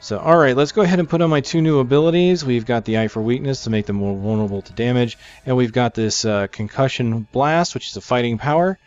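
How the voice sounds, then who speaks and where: A man's voice: mid-range voice, fast talker, hardly any pauses, a little mellow, clean tone, muffled recording. A man with a mid-range voice presents a game as he plays it. He explains in a casual, conversational way, thinking aloud and correcting himself. Faint music sits behind his voice. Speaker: gamer presenting a game preview. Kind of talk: casual gameplay commentary, explaining as they play.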